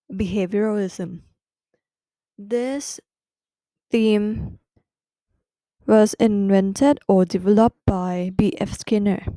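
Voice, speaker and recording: A woman with a Thai accent explains a psychology lesson slowly and carefully, pausing often as she finds her words in her second language. Her tone is soft and mellow. The recording is dry and close. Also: mid-range voice, dry recording. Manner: careful, halting second-language delivery with restarts. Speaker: podcast host. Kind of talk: educational podcast explanation.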